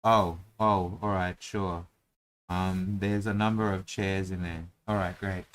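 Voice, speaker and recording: A man's voice: deep voice, flat delivery, a little slow, fairly bright tone, slight background noise. A man hosting a casual chat while drawing a dungeon map, talking in a playful, rambling, self-mocking way.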